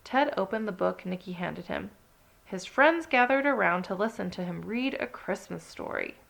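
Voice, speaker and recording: A woman narrating a children's read-along story with expressive, dramatic emphasis. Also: medium pitch, normal speed, gravelly, low-quality recording.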